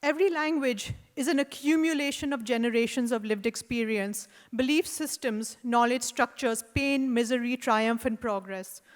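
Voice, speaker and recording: A woman with an Indian accent delivers a prepared lecture at a fairly high pitch. She reads in a measured, deliberate way and breaks off for wry asides and hesitant fillers. Her voice rings through a hall with a long reverb tail. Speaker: artist giving a lecture. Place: lecture hall at an art event.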